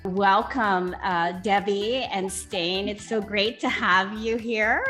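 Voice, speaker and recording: A woman's voice: mid-range voice, fairly even intonation, slow, sharp tone, soft background music. A woman hosting a video interview, enthusiastic and chatty.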